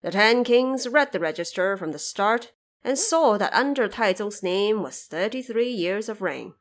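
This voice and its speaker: A woman reading scripted narration for an audio drama, in a measured, formal and expressive storytelling manner. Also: mid-range voice.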